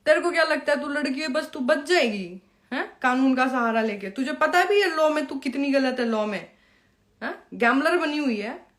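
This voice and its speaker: A high-pitched woman speaks in a confrontational, scolding rant aimed directly at someone. She taunts with sharp rhetorical questions and lectures as she goes. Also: expressive, normal speed. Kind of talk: angry direct-address rant to an opponent.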